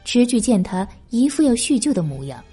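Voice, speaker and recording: Casting: female audiobook narrator for historical fiction, high voice with mellow tone, music underneath. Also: sing-song, fairly quick, breathy edge. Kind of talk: scripted narration of a historical novel. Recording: background music.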